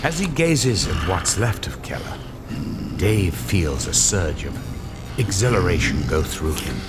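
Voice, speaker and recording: An actor playing a grave, suspenseful narrator of a horror radio drama, reading scripted narration theatrically. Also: male voice, deep voice, big pitch swings, unhurried, full character voice. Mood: ominous. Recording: loud music behind the voice.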